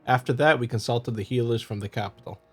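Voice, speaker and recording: A man playing a smooth, scheming visual novel husband who feigns loving concern, coaxing and patronizing. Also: medium pitch, fast talker, soft background music. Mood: feigned concern.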